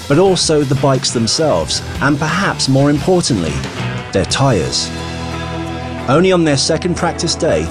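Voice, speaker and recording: A man working as a presenter and interviewer, speaking in a dramatic, emphatic way. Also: mid-range voice. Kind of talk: event presenting, narration and casual interview questions.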